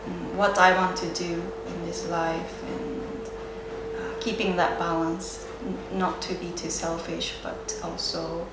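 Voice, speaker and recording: Slow-speaking Japanese-accented woman, roomy noisy recording. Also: fairly low pitch, flat delivery. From Sendai area, Japan. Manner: hesitant, searching for words, with frequent pauses. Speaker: interviewee, yoga and Japanese teacher. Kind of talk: reflective unscripted interview answers. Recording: roomy echo, clear background noise.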